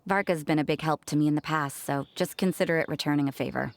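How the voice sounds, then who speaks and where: A woman's voice: low-pitched, quick pace, dry recording. A woman playing a cool, aloof young church sister, curt and laconic, in an anime game. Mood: detached, kindly.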